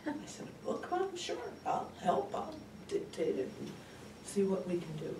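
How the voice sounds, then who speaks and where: A man playing a devoted, worn-out woman in her late sixties in a comic stage monologue, soft-spoken and swooping. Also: sits high, wildly swooping pitch, normal speed, pitched above the performer's own voice. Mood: dismayed, weary. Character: devoted, worn-out 68-year-old woman caring for her mother. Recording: distant, roomy, noisy live recording.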